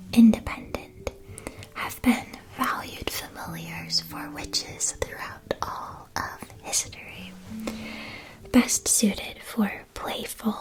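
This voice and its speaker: A woman playing a gentle, attentive witch shopkeeper in a scripted ASMR roleplay, whispering soft, measured, soothing explanations. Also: a little high, poor audio. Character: gentle, attentive witch school supply shopkeeper advising a student.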